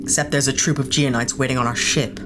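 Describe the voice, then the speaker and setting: Tough stubborn lady space investigator, acted, low voice, terse, loud noise behind her, sci-fi audio drama. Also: fairly even intonation, fast talker. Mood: sceptical. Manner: terse, blunt, sceptical.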